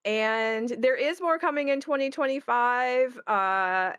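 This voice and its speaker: A woman's voice: fairly high pitch, takes it slowly, a bit bright, clean tone, no room sound, clean studio sound. A woman co-hosting a casual promotional chat about her creative work, with a playful manner.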